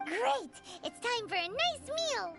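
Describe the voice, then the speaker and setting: Tiny chatty fairy sidekick for a game: childlike, very high-pitched, animated delivery. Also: female voice, measured pace, gravelly, over music, full character voice. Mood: eager. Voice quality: squeaky, childlike. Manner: refers to self in third person, animated. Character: small childlike fairy companion, chatty, excitable tour guide. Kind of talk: video game voice acting.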